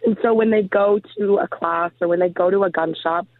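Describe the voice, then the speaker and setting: A woman who has lost her voice to a cold, speaking hesitantly as a guest in a casual interview, filling pauses with ums. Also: mid-range voice, fairly even intonation, dry recording. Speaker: guest, program founder. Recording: tinny phone line.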